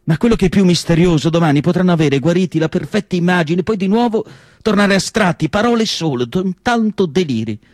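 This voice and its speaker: A man playing a reflective, compassionate asylum doctor in a theatrical literary monologue, speaking deliberately with dramatic pauses. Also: high-pitched, expressive, a little mellow, no room sound, clean studio sound. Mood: compassionate, musing. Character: male asylum doctor-writer, reflective, compassionate, solemn witness. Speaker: stage actor reading a literary monologue.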